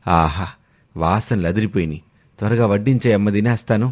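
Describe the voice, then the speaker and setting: A man voicing an easygoing, food-loving old father-in-law with a relaxed, hearty manner. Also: fairly low pitch, a little fast, lightly acted.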